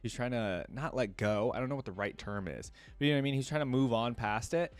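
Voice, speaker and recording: A man's voice: medium pitch, fast talker, clear, resonant tone. A man hosting a reaction video, giving casual, informal commentary in a conversational way. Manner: informal, conversational, checks in with "you know what I mean". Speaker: reaction video host and streamer.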